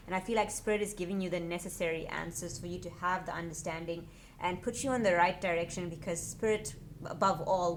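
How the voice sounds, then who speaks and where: A woman giving a casual tarot reading to her viewers, chatty and earnest, her voice a little raspy. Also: lowish voice, fairly bright tone, a little hiss or hum. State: slightly raspy voice. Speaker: tarot reader.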